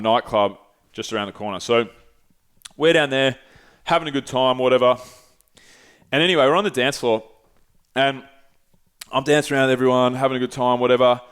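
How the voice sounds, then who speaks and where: A man's voice: mid-range voice, clear, resonant tone. Swearing man telling a story. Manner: animated, swearing, gesturing. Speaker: podcast host. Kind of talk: casual storytelling. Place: small podcast studio. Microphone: headset with desk mic.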